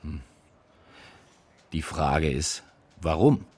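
A man's voice: extremely low pitch, extremely slow, darkish tone, husky, full character voice. An actor playing a laconic, authoritative male chief detective in a German radio crime drama, with a matter-of-fact, commanding manner. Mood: dry, pondering. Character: terse, authoritative male chief police detective leading interrogations.

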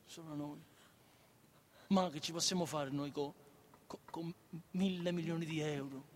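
A man's voice: on the high side, a little slow, a little hiss or hum, lightly acted. An actor playing a naive, eager schoolboy in a radio play, with a Neapolitan accent, stammering and repeating his words insistently. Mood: hushed awe. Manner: childlike, insistent, excitable. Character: eager, naive, chattering schoolboy son of a struggling mother. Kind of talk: radio theatre acting. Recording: reverberant hall.

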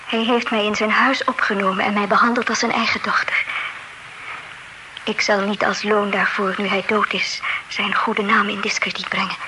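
An actress playing a dignified young heiress in an old radio play, speaking softly and measured, often close to a whisper. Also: female voice, medium pitch, noisy background, lightly acted. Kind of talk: old radio drama acting.

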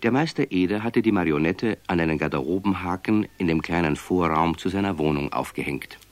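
A man narrating a children's radio play as an expressive storyteller, reading from a script. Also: sits low, brisk, no room sound, natural voice.

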